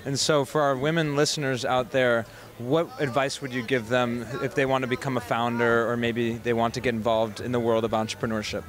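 A man's voice: mid-range voice, level tone. Upbeat young man interviewing outdoors. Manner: upbeat, friendly, halting questions. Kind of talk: casual street interview. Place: busy city street outdoors. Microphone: handheld mic.

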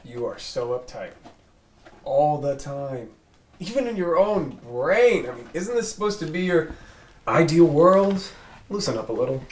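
A man acting a gruff, sarcastic police detective in a scripted drama read, casual and needling. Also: on the high side, big pitch swings, unhurried. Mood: teasing. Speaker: actor reading a police detective at a table read. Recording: roomy, distant mic, faint background noise.

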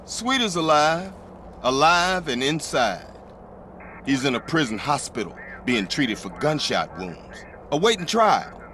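A man's voice: on the high side, big pitch swings, unhurried, pushed and bright, a little hiss or hum, heavily acted. An actor playing a sadistic, corrupt policeman in a video game, taunting and theatrically menacing. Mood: gloating. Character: sadistic corrupt policeman, mocking male villain. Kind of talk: video game cutscene acting.

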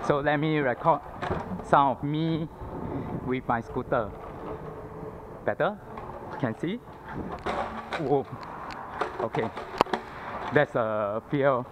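A man's voice: medium pitch, slow pace, long pauses. A Singaporean man with a Singaporean English accent, chatting casually and thinking aloud with fillers as he rides a scooter outdoors, a little out of breath. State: panting from exertion. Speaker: vlogger. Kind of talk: casual chat while riding. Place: outdoors on a city roadside pavement. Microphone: head-mounted action camera mic. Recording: lo-fi action camera audio with street traffic. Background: passing traffic.

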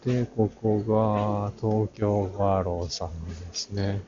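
Low-voiced man, unhurried walking commentary. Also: steady tone, noisy background. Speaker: art channel vlogger giving a walk-through. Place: large crowded indoor exhibition hall. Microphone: action camera at arm's length, face mask on. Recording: muffled audio in a busy crowded hall.

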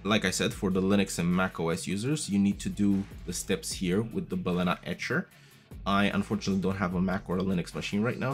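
A man in his thirties casually walking viewers through a device setup step by step, chatty and patient, recorded at a desk with a boom mic. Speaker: tech video host. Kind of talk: casual tutorial walkthrough. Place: small room at a desk. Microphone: studio mic on a boom arm, wearing headphones.

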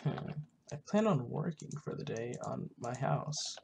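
A low-key, unhurried gamer casually narrating a roleplay game session. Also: expressive, gravelly, noisy background. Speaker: gaming video host.

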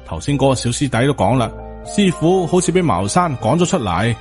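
A man's voice: mid-range voice, big pitch swings, brisk, soft background music, natural voice. A man with a Hong Kong Cantonese accent voicing a dejected, worried, loyal disciple in an audiobook, with a reproachful manner.

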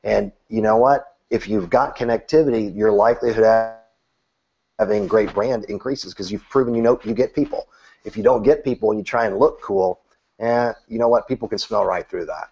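Earnest man with a gravelly low voice. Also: normal speed. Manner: earnest, animated, gestures with both hands; repeats words when restarting. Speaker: church marketing consultant. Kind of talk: interview answer, advice. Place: small room, seated on a couch. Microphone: video call webcam. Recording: dull lo-fi webcam sound.

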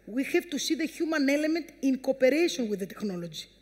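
A woman's voice: sits high, sing-song, quick pace, a little mellow. Female panelist, forties, passionate emphatic argument on stage, strong room reverb. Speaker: conference panelist. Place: on stage in a conference hall. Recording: echoey conference hall.

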